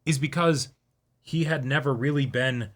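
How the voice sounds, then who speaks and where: Bright-voiced man chatting as co-host. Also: mid-range voice, moderate pace. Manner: agreeable, interjects, voices imagined thoughts. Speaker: co-host of an anime reaction show. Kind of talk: casual discussion.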